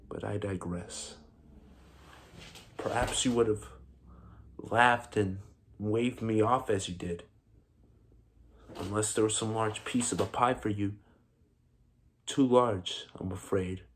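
Man playing a husky, slow-talking vengeful businessman. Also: lowish voice, heavily acted. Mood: brooding. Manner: slow, deliberate, brooding, with pointed pauses. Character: vengeful self-made Italian businessman, bitter, menacing, triumphant. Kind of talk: theatrical dramatic monologue.

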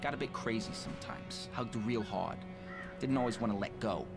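An actor playing an earnest, awkward young small-town deputy in a video game, with an American accent and a halting, plain-spoken manner. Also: male voice, lowish voice, over loud music, natural voice. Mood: earnest, troubled.

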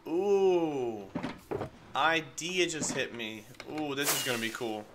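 A congested man chats his way through a gameplay session, rambling and talking to his viewers. His pitch swings in a lively way. Loud keyboard clicks and typing sit clearly behind his voice. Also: slow, very noisy. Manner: rambling, chatty, addresses chat as guys. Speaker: gaming creator. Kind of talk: casual unedited gameplay commentary.

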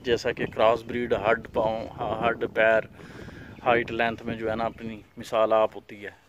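A man's voice: lowish voice, completely flat delivery, moderate pace, dull audio, background noise. A man who owns a cattle farm, casually showing animals for sale outdoors in a dusty farmyard, pointing out each one's features plainly and repetitively. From Pakistan. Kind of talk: casual walkthrough vlog.

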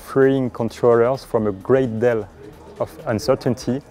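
Man explaining, mellow low-brightness voice. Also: steady tone, measured pace. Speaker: air traffic control expert interviewee. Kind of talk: explanatory corporate video interview. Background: background music.

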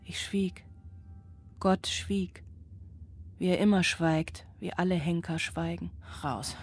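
An actress playing a guilt-ridden single mother in a radio drama, speaking a poetic, deliberate inner monologue. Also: fairly low pitch, fairly even intonation, husky. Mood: desperate, guilty. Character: guilt-ridden single mother searching for her son at night. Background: music.

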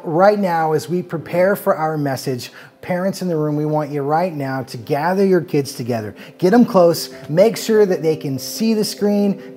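Low mellow woman announcing. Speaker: worship service host. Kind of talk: service announcement.

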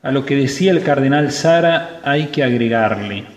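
A priest preaching a sermon at Mass, slow and emphatic, stressing key words. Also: male voice, medium pitch, animated. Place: church. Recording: roomy church with long reverb.

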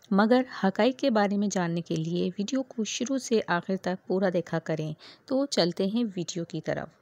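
A woman's voice: mid-range voice, no echo. Earnest woman narrating in a dry, close voice. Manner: earnest, preachy storytelling with religious warnings. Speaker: vlog narrator. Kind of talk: read-aloud voice-over narration.

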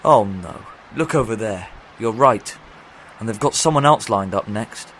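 A man playing an eccentric time-travelling doctor, brisk and exclamatory, in a fan audio drama. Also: on the low side, animated, a bit bright, slight background noise.

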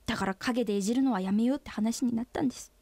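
An actress playing a blunt, teasing young company employee in a radio drama, with a deadpan, brisk delivery. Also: female voice, a little high, dry recording. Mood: earnest.

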